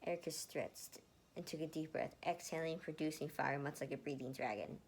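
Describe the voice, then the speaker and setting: A woman reading fan fiction aloud in an expressive amateur way, sometimes stumbling and re-reading words. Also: low voice, brisk, a little hiss or hum. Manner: animated, stumbles and re-reads words.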